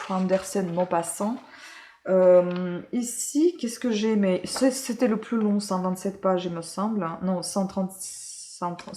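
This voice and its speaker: Woman from ukraine, lowish voice, lots of euh and restarts, chatty book review. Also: darkish tone. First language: Russian. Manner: hesitant, many fillers and self-corrections. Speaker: book reviewer, channel host. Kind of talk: casual book review chat.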